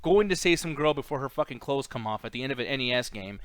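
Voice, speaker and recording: A man's voice: mid-range voice, expressive, fast talker. A man hosting a casual, opinionated movie chat, ranting bluntly with lots of swearing and mocking quotes. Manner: mimics characters in mocking quotes. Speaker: podcast host.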